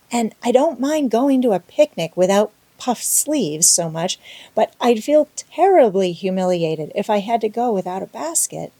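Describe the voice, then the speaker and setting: A woman voicing an excitable, talkative, imaginative young girl in an audiobook, gushing and breathless. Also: medium pitch. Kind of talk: audiobook character voice.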